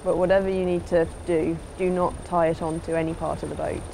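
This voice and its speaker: A woman's voice: fairly low pitch, flat delivery, fairly quick, vocal fry, dark tone, a little hiss or hum, poor audio. A woman giving an instructional voice-over as a sailing instructor, in a plain, explanatory way.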